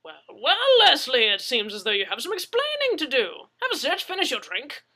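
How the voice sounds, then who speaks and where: A woman voicing a blustering, easily flustered English gentleman in a story, bumbling and hearty. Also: high-pitched, huge pitch swings, moderate pace, pitched above the performer's own voice, exaggerated voice. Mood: stern, hearty.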